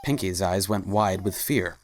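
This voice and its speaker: A woman's voice: extremely low pitch, unhurried, a little mellow, slight background noise. A woman reading scripted story narration as the narrator of a dramatic reading.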